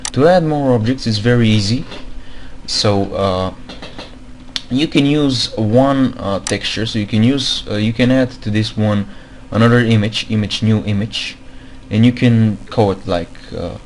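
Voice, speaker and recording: Slow, hesitant man with a non-native accent. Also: on the low side, a little hiss or hum. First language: not English. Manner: hesitant, many fillers, repeats himself. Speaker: game modding tutorial maker. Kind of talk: screen-recorded tutorial.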